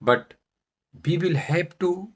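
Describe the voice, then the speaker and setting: Slow, deliberate Indian man introducing himself. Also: fairly high pitch, breathy edge. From Madhya Pradesh, India. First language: Bundelkhandi. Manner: deliberate, halting phrasing with stressed words. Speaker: vlogger, English learner turned presenter. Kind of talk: self-introduction talk to camera.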